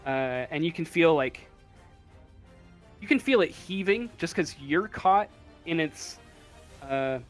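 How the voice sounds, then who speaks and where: A man narrating a tabletop game as game master in a casual, halting, improvised way. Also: fairly high pitch, animated, faint music behind. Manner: halting, hesitant, casual delivery.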